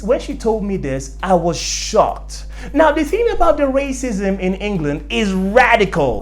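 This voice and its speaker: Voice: medium pitch, a little mellow. A young adult with a Nigerian accent telling personal stories in an emphatic way, repeating phrases for effect. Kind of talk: animated storytelling to camera.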